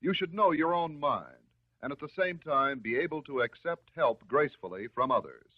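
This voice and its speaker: An American man delivering scripted narration in the style of a 1950s educational film, measured, instructive and reassuring. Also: fairly high pitch, expressive. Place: voice-over recorded for film. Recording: muffled, narrow-band 1950s film soundtrack.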